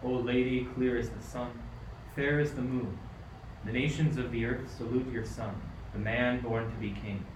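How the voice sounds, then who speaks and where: A man's voice: mid-range voice, steady tone, moderate pace, lightly acted. A man playing a wise, devout old king in a radio play, solemn and declamatory. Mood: reverent. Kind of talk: amateur radio play acting. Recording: echoey, distant, noisy room.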